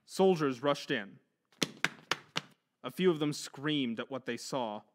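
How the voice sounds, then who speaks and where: A man's voice: medium pitch, expressive, slow pace, background noise. A man narrating a horror story in an intense, theatrical manner.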